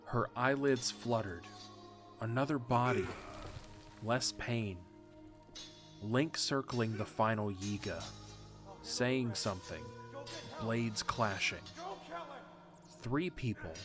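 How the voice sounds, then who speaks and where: A man narrating a fan audio drama in a steady, measured storytelling manner. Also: mid-range voice. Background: music.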